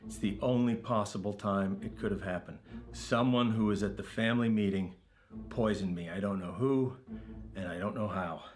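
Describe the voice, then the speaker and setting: An actor playing a weary, dying middle-aged uncle in an interactive film, wry, urgent and informal. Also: male voice, fairly low pitch, normal speed, darkish tone, close to an everyday voice. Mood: grave. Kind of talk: naturalistic film dialogue. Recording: background music under the voice.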